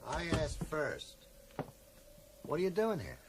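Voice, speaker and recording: A man's voice: fairly high pitch, huge pitch swings, slow pace, noisy background, full character voice. An actor playing a blunt, suspicious man who probes insistently, in a radio drama. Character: dogged, suspicious male amateur investigator with sense of justice. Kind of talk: 1980s radio drama acting.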